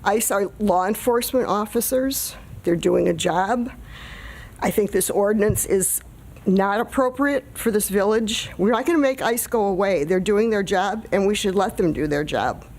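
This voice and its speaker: A woman's voice: mid-range voice, moderate pace, a little mellow. A woman giving public comment at a meeting in blunt, declarative statements.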